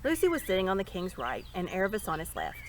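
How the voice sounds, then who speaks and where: A woman reading a story aloud in an expressive narrating style. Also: mid-range voice, quick pace, slight background noise. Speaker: storybook reader.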